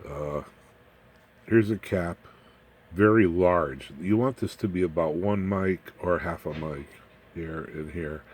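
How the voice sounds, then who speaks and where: A man's voice: deep voice, takes it slowly, darkish tone. A man presenting an informal hands-on electronics demonstration in a folksy, rambling, plain-spoken way. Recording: clear background noise, room ambience.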